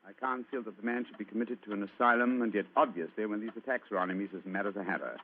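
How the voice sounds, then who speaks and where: An actor playing a keen detective musing deliberately in an old radio drama, on a noisy, tinny recording. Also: male voice, on the low side, close to an everyday voice. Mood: musing, intrigued. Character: keen, musing British detective relishing a puzzle.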